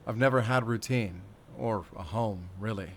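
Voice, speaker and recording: A man playing a battle-worn, calm and wry werewolf hunter in an audio roleplay, speaking deliberately in short, paused phrases with quiet tenderness. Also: lowish voice, slight background noise. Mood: pensive, tender. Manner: measured, deliberate, pausing between short phrases. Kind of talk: cinematic audio roleplay acting.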